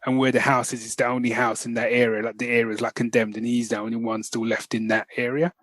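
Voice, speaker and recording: A man co-hosting a podcast, telling stories in an animated, slangy, casual review style. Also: medium pitch, fast, no echo, studio quality. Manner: animated storytelling with slangy asides. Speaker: podcast co-host. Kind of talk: casual chat, film review.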